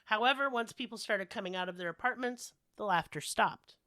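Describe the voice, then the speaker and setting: A woman's voice: mid-range voice, a little fast, sharp tone, dry recording, studio quality. A woman podcast host casually telling comedic stories with sweary, sardonic rants while a bit under the weather. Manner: profane, sardonic ranting. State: sick with a cold-like illness.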